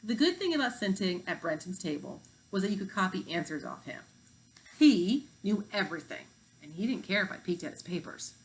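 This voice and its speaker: A woman's voice: medium pitch, big pitch swings, brisk, rough recording. A slacker fifth-grade girl acted by a woman speaks in blunt kid slang. She is an unbothered storybook schoolgirl with no love of school.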